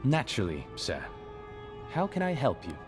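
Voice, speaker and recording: An actor playing a courteous, scholarly aide in a video game, speaking in a formal, precise and deliberate manner. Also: male voice, medium pitch, wildly swooping pitch, music in the background. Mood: composed, grave. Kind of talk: game dialogue, measured exposition.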